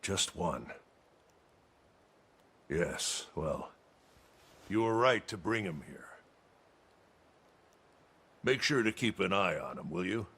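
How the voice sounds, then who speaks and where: A man playing a grave, caring captain of a mercenary brigade in a video game, speaking in a measured way. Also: low voice, lively intonation, a bit bright, husky, heavily acted. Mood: concerned, solemn. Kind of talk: dramatic video game acting.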